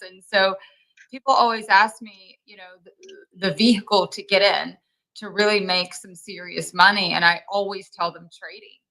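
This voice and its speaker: A woman's voice: medium pitch, bright, forceful tone, low-quality recording. A woman hosting an interview, enthusiastic and often restarting her sentences. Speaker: host. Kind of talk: casual interview chat.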